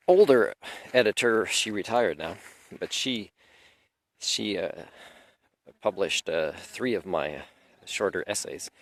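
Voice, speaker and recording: A man whose first language is French, giving a rambling, aggrieved unscripted monologue and clearing his throat now and then. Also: lowish voice, no room sound. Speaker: video lecturer.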